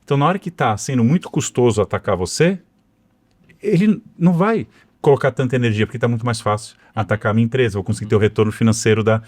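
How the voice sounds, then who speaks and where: A man's voice: medium pitch, fast, a little mellow, dry recording. A middle-aged Brazilian man from São Paulo with a São Paulo accent, a podcast guest telling his story fluently and conversationally, tagging his sentences with little asides. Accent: Brazilian Portuguese, São Paulo. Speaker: cybersecurity executive and guest. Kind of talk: podcast interview.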